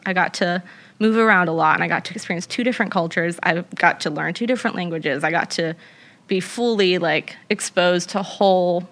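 A young woman talks through her thoughts in a relaxed conversation, circling back with fillers as she goes. Her voice is bright and forward, set a little low. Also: vocal fry. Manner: rambling and reflective, many fillers. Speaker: guest. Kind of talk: casual conversation.

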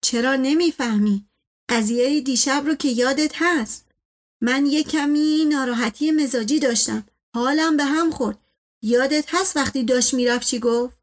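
A woman's voice: sits high, natural voice. An imperious older patriarch from a storybook , as a woman narrator puts him on. He speaks sternly and pompously, with indignation. His temper is proud and suspicious. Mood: indignant, impatient.

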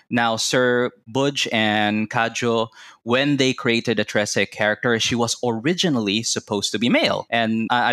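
A man's voice: mid-range voice, a bit bright, dry recording, clean studio sound. A Filipino man from Cebu, a Cebuano speaker, chatting enthusiastically as a podcast guest. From Philippines (Cebu). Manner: chatty and enthusiastic, laughs often. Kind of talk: casual conversational interview.

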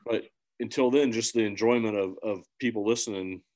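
Midwest band guy, lowish voice, rambles and restarts a lot, earnest. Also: steady tone, fairly quick, a little mellow. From central Illinois, USA. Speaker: musician, podcast guest. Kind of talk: casual interview chat.

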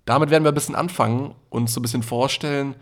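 A man's voice: medium pitch, fairly even intonation, fast. A nineteen-year-old man from Germany, co-hosting a podcast in a rambling, joking casual chat. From Nuremberg, Germany. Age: young adult, 19. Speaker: podcast co-host.

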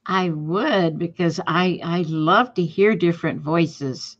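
An older woman co-host with a Missouri-tinged Texas accent, giving slow, agreeable replies. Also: fairly low pitch. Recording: dull lo-fi sound.

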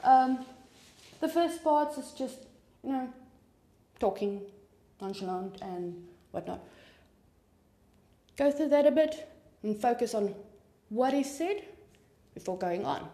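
A woman's voice: on the high side, animated, takes it slowly, pauses often, warm tone, roomy sound. A woman from South Africa whose first language is Afrikaans, hosting a true crime podcast in a chatty, informal way with rambling asides and a South African accent. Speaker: podcast host. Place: home room with papers on desk.

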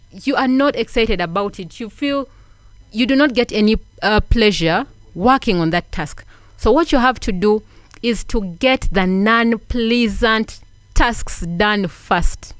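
A woman's voice: medium pitch, no echo. A woman giving an earnest motivational talk, repeating points for emphasis and asking the listener questions. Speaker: self-help speaker.

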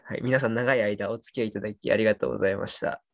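A man's voice: on the low side, steady tone, rapid-fire, no room sound, clean studio sound. A man in his twenties chatting casually as a podcast host, speaking in loose but polite speech as a junior does to a senior friend. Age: 20s. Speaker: podcast host looking back on student days. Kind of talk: casual chat between friends.

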